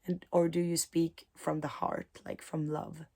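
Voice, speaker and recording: A woman who is a mindset coach and spiritual teacher, speaking in a reflective talk to camera, pausing often with soft fillers. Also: low-pitched, level tone, husky.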